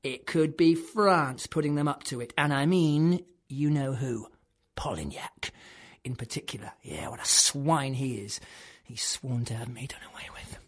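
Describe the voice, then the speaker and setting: Woman playing a husky, raving deluded clerk. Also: rumbling low, a little slow. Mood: agitated ranting. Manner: lurches between hushed whispers and loud outbursts. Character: deluded male clerk who believes he is king. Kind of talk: dramatic monologue.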